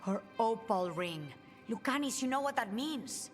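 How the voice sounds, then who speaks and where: A woman's voice: on the high side, expressive, unhurried. An actress playing an assured, persuasive ally in a video game, firm and pointed in her speech. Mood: assured, urging. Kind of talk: video game character dialogue. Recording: faint music behind.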